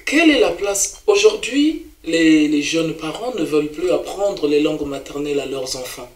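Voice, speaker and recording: A man's voice: fairly high pitch, expressive, normal speed, roomy sound. A man interviewing a guest, asking formal questions and addressing him as monsieur.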